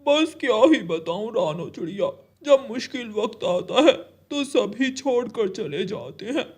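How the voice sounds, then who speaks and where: High-pitched, self-pitying male crow, played by a man. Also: big pitch swings, measured pace, husky, exaggerated voice. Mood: mournful, self-pitying. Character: scheming male crow feigning injury and self-pity. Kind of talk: Hindi moral-story cartoon voice acting.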